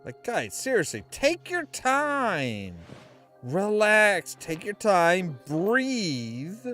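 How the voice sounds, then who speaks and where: A man's voice: high voice, wildly swooping pitch, very slow, bright, forceful tone, soft background music. A man giving casual, slangy, sweary commentary while playing a video game. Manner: profane, slangy.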